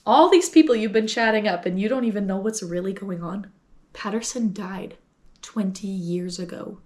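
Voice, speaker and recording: A woman acts out a cocky young amateur sleuth in an audio drama. She speaks at a natural middle pitch, brash and emphatic, eager to lay out her case. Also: normal speed, darkish tone, a little hiss or hum, lightly acted. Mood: combative. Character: cocky, eager young female aspiring private investigator.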